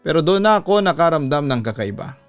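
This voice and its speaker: A man's voice: on the high side, big pitch swings, quick pace, no room sound. A man with a Filipino accent, a radio host reading a listener's letter as scripted dramatic storytelling. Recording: music behind the voice. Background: background music.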